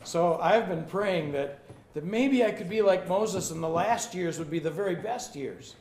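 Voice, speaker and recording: A man in his sixties, a pastor teaching a Bible lesson in short phrases and pausing for an interpreter, with a friendly, plain-spoken manner. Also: high-pitched, darkish tone, a little hiss or hum. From United States. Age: 60s. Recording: roomy hall with faint ambience.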